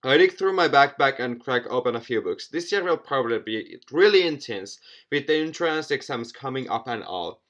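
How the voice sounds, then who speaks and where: A man's voice: medium pitch, animated, moderate pace, few pauses, fairly bright tone. A young man hosting a gaming video, reading visual novel narration aloud with asides and stumbling over repeated words. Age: young adult. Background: music.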